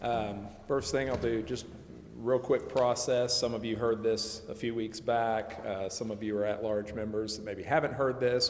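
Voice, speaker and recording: A man giving an informal explanatory presentation as a consultant at a public meeting. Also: medium pitch. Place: large indoor council chamber. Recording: echoey chamber, long reverb, faint noise.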